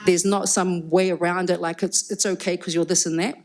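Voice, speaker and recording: A woman's voice: fairly low pitch, flat delivery, fairly quick, a little mellow. A middle-aged woman with a New Zealand accent, first language Cook Islands Māori, speaking candidly and reflectively as a panel guest before a live audience. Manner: forthright, reflective, frequent "um" and restarts.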